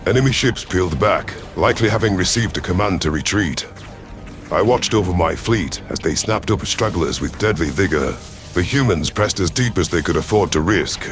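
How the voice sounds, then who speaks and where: A man's voice: sits low, expressive, normal speed. A man narrating a science fiction story in the first person, in a dramatic scripted reading style. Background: background music.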